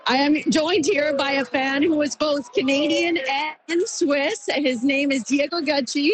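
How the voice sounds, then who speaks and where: A woman field reporter, lively and upbeat, reporting live from an outdoor street viewing party with a crowd behind her. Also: high-pitched, a little slow, slight background noise.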